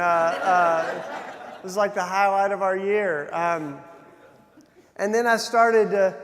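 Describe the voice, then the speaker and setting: Southern guy from tennessee, pretty high voice, rambling friendly keynote at church event, big reverb. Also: a bit bright. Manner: chatty, self-deprecating, many fillers and restarts. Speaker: keynote speaker. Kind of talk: informal speech to a church gathering. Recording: long hall reverb.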